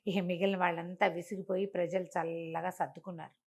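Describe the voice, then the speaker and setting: A woman's voice: lowish voice, brisk. Older woman telling a moral tale. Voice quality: animated, acts out the characters' lines within the telling. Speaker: storyteller.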